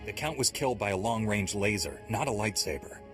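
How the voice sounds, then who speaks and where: A man playing a resolute Jedi Knight in a video game, measured and composed, with a dry wit. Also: lowish voice, animated, fairly bright tone, soft background music. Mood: grave, firm. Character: resolute male Jedi Knight, calm and dryly wry. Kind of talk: scripted video game acting.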